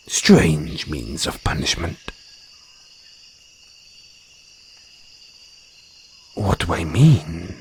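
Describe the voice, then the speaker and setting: Man voicing a slow, low-pitched jungle naga. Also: slight background noise. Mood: musing. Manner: slow, deliberate, formal phrasing with pauses. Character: male jungle naga, guarded but tender, flirtatious healer. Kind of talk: intimate audio roleplay acting. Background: crickets and jungle insect sounds.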